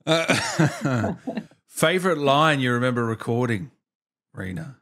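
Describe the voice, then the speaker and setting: A man's voice: medium pitch, animated, slow pace, a bit bright. A man in his thirties hosting a casual interview, asking short questions into a desk microphone. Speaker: interviewer, show host. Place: small indoor streaming room. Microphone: desk microphone up close, headset on.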